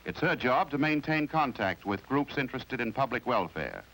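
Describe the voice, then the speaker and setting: A man with an American accent narrates a 1950s training film from a script in a formal, measured, explanatory way. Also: mid-range voice, lively intonation, a bit bright, low-quality recording. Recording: vintage 1950s film soundtrack with music.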